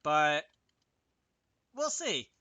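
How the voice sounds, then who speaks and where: A man with a bright, crisp-edged voice talks through a coding tutorial in a casual way. He thinks aloud, mutters to himself and corrects himself as he goes. The sound is close and dry, with little room around the voice. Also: on the high side, very animated, very slow, no echo. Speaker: host of a game programming tutorial.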